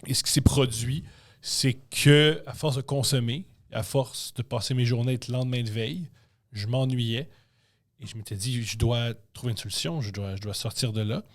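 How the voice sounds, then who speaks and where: A man's voice: mid-range voice, fairly even intonation, moderate pace. Blunt Québécois man speaking frankly. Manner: frank, blunt, matter-of-fact. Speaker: comedian and writer, podcast guest. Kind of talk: interview answers.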